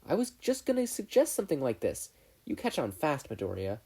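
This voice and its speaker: Teenage schoolgirl, voiced by a narrator: bubbly, earnest, lively delivery, slightly husky tone. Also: medium pitch, a little fast, voice pushed up, heavily acted. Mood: delighted. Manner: upbeat, earnest, playful. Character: bright, bubbly, friendly teenage girl student. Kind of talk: fan fiction audiobook character voice.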